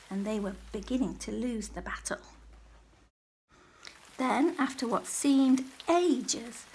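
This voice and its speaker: A woman narrating a children's story aloud with expressive, dramatic delivery. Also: a little high.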